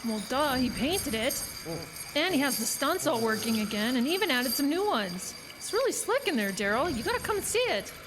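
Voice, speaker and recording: A high, brash, excitable carnival girl. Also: normal speed, over music. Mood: excited, sassy. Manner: sassy, teasing, pushy. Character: brash, bossy, excitable young carnival woman. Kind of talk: horror audio drama acting.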